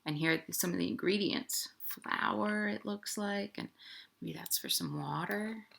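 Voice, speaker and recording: A woman's voice: mid-range voice, unhurried, vocal fry, background noise. A woman hosting a children's storytime, reading playfully in sing-song rhymes and speaking directly to young children. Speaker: children's librarian, storytime host. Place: at home, indoors.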